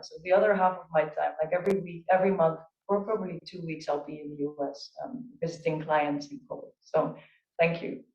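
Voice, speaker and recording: A woman with a Dutch accent, speaking on a virtual panel as a procurement executive, earnest and fluent with frequent restarts. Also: mid-range voice. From Netherlands. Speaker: panelist, chief procurement officer. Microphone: video call. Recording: roomy, distant, dull video-call audio.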